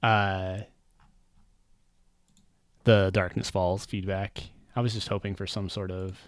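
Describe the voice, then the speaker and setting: A man's voice: low voice, little pitch movement, slow pace, no echo. A man chatting casually on a game stream, rambling and wry, often trailing off with um and uh.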